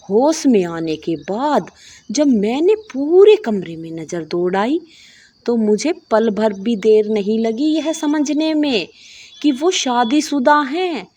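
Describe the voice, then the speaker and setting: A woman narrating a first-person bedtime story slowly, acting out the quoted dialogue. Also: on the high side, darkish tone.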